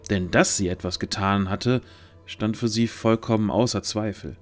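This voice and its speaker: A man's voice: lowish voice, steady tone, normal speed. A man reading scripted narration for a fantasy audio drama. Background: faint music.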